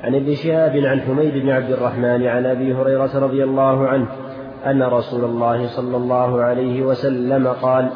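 A man reading hadith chains aloud to his teacher in a measured, steady way. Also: mid-range voice, background noise. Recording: echoey, dull lo-fi sound with crowd behind.

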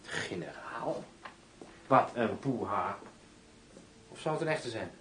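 An actor playing a cool, sardonic undercover bodyguard in a radio drama, dry and clipped. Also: male voice, fairly low pitch, lively intonation, slow, reverberant, recorded from a distance, very noisy.

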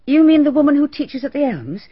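An actress playing a composed, well-spoken village hostess who answers briskly and a little defensively in a radio drama. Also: female voice, high voice, very animated, dry recording. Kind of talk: BBC radio drama acting. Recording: dull archival radio recording.

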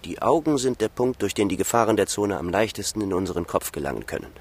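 Stiff pedantic guard, radio drama, raspy low voice, very by the book. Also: male voice, animated, quick pace. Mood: officious. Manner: formal, pedantic. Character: stiff, fearful guard at the edge of the Zone.

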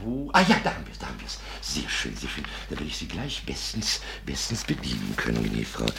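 An actor playing an elderly, courteous, fussy collector in a 1950s radio play, effusively polite, hesitating and repeating himself in a husky, creaky voice. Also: male voice, very deep voice, wildly swooping pitch, a little fast, background noise, exaggerated voice. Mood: confiding, eager. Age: older. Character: courteous, fussy old gentleman collector of snuffboxes.

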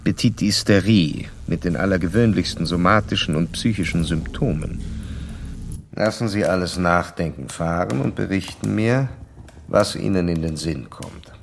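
An actor in a German radio drama playing a formal, probing psychoanalyst of the early 1900s, measured and clinical. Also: male voice, low-pitched, vocal fry, slight background noise. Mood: clinical, instructing.

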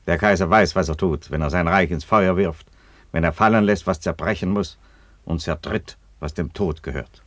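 An actor playing an aging Roman emperor in a radio play, an unhurried, wry father with a dry, sententious delivery. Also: sits low, a bit bright. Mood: imperious, forceful.

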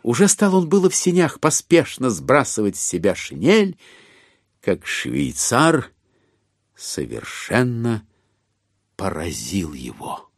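A man's voice: mid-range voice, huge pitch swings, slow, slightly rough, no echo, studio quality, exaggerated voice. A man performing as a wry, ironic storyteller, reading literary narration in an audio drama. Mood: wry, animated.

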